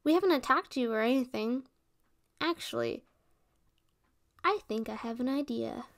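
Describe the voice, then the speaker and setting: A woman's voice: fairly high pitch, animated, normal speed. A woman playing a protective, playful resurrected witch in an ASMR roleplay, speaking in a sweet, theatrical way. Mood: reassuring.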